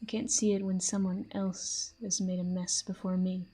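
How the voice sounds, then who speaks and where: A woman playing a possessive, teasing fantasy villain in an intimate audio roleplay, with a soft, breathy voice and a smug manner. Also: fairly low pitch, flat delivery, normal speed. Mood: jealous, teasing. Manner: smug, taunting, breathy murmurs. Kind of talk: intimate scripted audio roleplay addressed to the listener.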